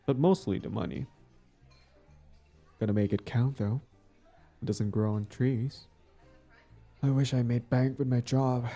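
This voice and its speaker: A man acting out a smug but smitten villain on a date, smooth-talking and casual, in an intimate audio roleplay. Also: on the low side, warm tone. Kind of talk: intimate scripted boyfriend-style audio roleplay.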